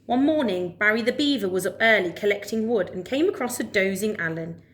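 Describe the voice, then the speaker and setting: A woman reading a children's story aloud as a storyteller, with expressive narration. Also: mid-range voice, fairly quick.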